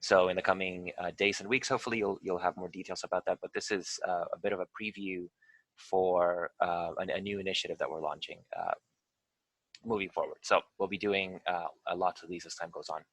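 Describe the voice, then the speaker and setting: Deep-voiced man hesitantly leading an online workshop. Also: fairly even intonation, fairly quick, fairly bright tone, no echo. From Venezuela. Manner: hesitant, many ums and uhs, restarts. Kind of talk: live online tutorial walkthrough. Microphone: video call.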